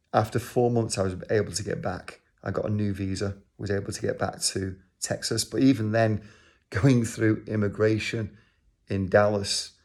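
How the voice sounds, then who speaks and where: A man's voice: fairly low pitch, gravelly, darkish tone. An older man with a Manchester accent, telling a personal story to the camera in a rambling way, with many ums and uhs. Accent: Manchester English. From England. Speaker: organisation leader.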